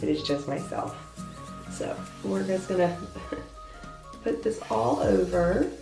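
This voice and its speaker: A woman's voice: mid-range voice, lively intonation, slow, vocal fry. A young woman with a congested, stuffy voice, chatting casually through a makeup tutorial and explaining each step in a self-deprecating way. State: congested, voice lower than usual. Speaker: beauty vlogger. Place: hotel bathroom. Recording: echoey bathroom from off-mic, faint music.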